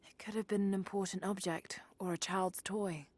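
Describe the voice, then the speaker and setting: An actress voicing a thoughtful young female archaeologist in a video game, hushed and breathy, musing to herself. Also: medium pitch, fairly even intonation, normal speed, natural voice. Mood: musing, curious.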